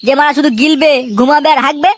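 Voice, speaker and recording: A woman's voice: sits high, flat delivery, fast, close to an everyday voice. A woman voicing a scheming, foul-mouthed young boy, whiny and exclamatory, in a comedy cartoon. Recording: loud music bed with bird chirps.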